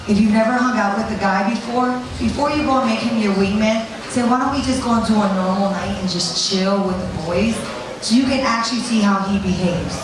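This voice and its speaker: A very reverberant, distant recording with crowd sound carries a woman speaking at a seminar. She talks bluntly and conversationally, like an informal presenter, and swears freely. Her voice sits at an average pitch for a woman. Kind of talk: informal seminar talk with personal anecdotes. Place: seminar room with an audience. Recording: very echoey room, distant mic, audience noise.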